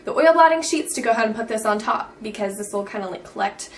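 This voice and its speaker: A woman's voice: fairly high pitch, lively intonation, fast, distant mic, a little hiss or hum. A teenage girl in a casual beauty vlog, chatty and bubbly, saying "like" all the time. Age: teens. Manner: bubbly, says "like" constantly. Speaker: beauty vlogger.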